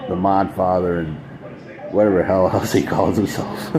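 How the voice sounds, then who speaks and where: A man's voice: low-pitched, steady tone, moderate pace, background noise. A man live-streaming late at night, chatting casually with his viewers while losing his voice, rambling and repeating himself with frequent chuckles. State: losing his voice, dry throat.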